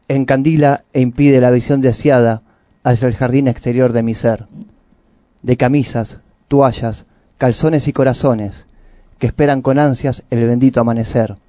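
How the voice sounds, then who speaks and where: Mellow Argentine man reading on radio. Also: mid-range voice, dry recording. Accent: Rioplatense Argentine. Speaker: radio presenter. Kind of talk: literary reading and monologue for a radio show.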